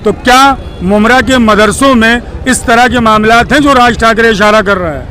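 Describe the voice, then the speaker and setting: A man's voice: very high voice, moderate pace, bright, forceful tone, some chatter behind. A man, working as a news channel reporter and speaking formally and courteously in an outdoor interview. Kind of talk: street interview and sign-off.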